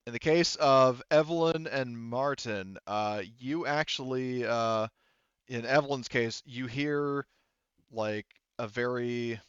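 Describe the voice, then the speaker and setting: A man with a smooth, middle-pitched voice serves as game master. He narrates casually and swears freely. The sound is dry and a little dull. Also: fairly bright tone, no echo. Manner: casual, profane.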